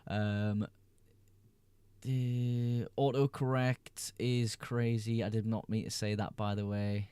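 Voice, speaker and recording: Young man, livestream host, reading chat aloud, laid-back, low voice, dry sound. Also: little pitch movement, slow, mellow, clear, resonant tone, no room sound. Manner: laid-back, reads chat messages aloud then answers. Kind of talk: casual livestream chat answering viewer comments.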